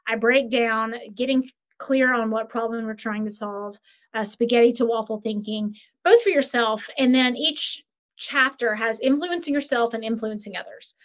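A woman, a podcast guest and author, chatting in an animated, upbeat way and often checking in with "right?". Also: on the high side, normal speed. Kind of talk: casual interview chat. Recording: lo-fi muffled sound.

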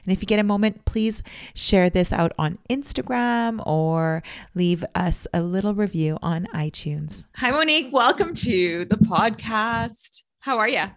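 A woman's voice: mid-range voice, animated, measured pace. A woman hosting a podcast, chatting casually with a guest, laughing often and filling her talk with "like". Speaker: podcast host, trainer.

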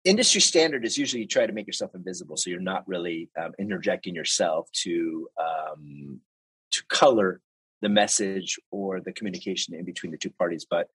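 Deep husky man explaining his trade. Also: a little fast, fairly bright tone, dry recording, rough recording. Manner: explains step by step with examples, many restarts. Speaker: guest, translator and interpreter. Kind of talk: casual interview talk.

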